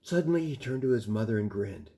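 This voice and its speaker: A man's voice: medium pitch, lively intonation, quick pace, creaky, warm tone. A man in his fifties reading a children's bedtime story expressively, like a grandfather, in a room at home. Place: small home room, indoors.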